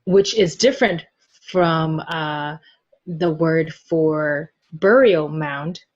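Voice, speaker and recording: A woman's voice: low voice, drawn-out words, muffled recording. A woman speaking as a podcast guest in a casual chat, playful and giggly, often thinking aloud.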